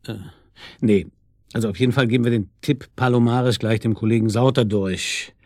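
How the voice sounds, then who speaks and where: A man's voice: medium pitch, steady tone, normal speed, darkish tone, no echo, clean studio sound, natural voice. An actor playing a police detective in a naturalistic radio drama, wry and probing, hesitant as he thinks aloud. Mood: wry, pondering.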